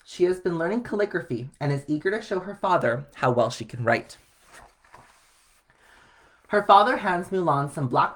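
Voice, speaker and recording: A man reading a children's story aloud in an expressive way. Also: fairly high pitch. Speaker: storybook reader.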